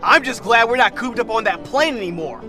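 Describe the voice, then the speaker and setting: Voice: medium pitch, expressive, a little fast, music in the background, natural voice. An actor playing a restless, cocky cartoon hedgehog hero in an audio drama. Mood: upbeat.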